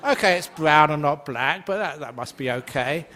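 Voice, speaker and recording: An older monk answers questions from the floor in a loose, conversational way. His voice sits a little high for a man, he jokes and laughs easily, and he keeps things chatty with plenty of fillers. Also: animated, normal speed, bright, forceful tone, slight background noise. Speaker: Buddhist monk and teacher. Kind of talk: Dharma talk Q&A.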